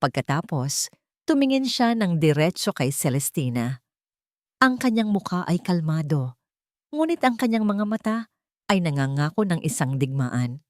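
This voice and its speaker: A woman narrating a scripted romance story in a deliberate, emotive, dramatic way. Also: deep voice, big pitch swings, a little mellow, no room sound, clean studio sound.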